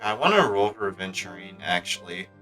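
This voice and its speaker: Brief: male streamer, bright tone, chatty play-by-play, faint game music underneath. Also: sits low, animated, fairly quick, rough recording. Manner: chatty, thinks aloud with restarts. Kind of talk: casual gameplay commentary.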